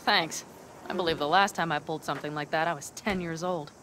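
An actress playing a fiery, strong-willed young nomad woman in a video game, with expressive, emphatic delivery. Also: low voice, fairly quick, a little hiss or hum. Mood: wry, warm. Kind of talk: cinematic video game voice acting.

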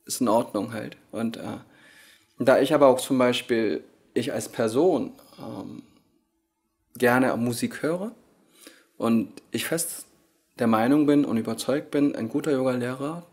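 A man in his thirties, Korean-born, who speaks with many pauses and fillers as a yoga teacher answering interview questions, recorded indoors on a handheld recorder. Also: medium pitch, creaky, a little mellow, breathy edge. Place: hotel lounge at a conference, indoors. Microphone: handheld recorder held by the interviewer.

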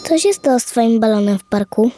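A woman's voice: on the high side, lively intonation, fairly quick, mellow, pitched above the performer's own voice, lightly acted. A woman playing a curious, friendly and bold little girl in a children's audio fairy tale, eager and full of wonder. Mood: delighted wonder.

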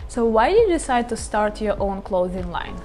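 A woman's voice: mid-range voice, sing-song, normal speed, a little mellow, soft background music. A woman in her thirties presenting and asking questions as a channel host, on a lapel mic indoors. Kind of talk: presenting and interviewing. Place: furnished indoor lounge.

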